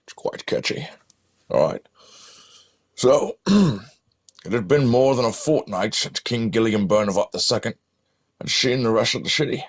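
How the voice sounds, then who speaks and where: A man doing a parody of an old Scottish film star, narrating a mock-epic fantasy battle for a video game in a husky, gravelly voice with halting, muttered asides. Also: medium pitch, lively intonation. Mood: wry, dramatic. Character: parody elderly Scottish screen legend narrating fantasy battle, wryly amused.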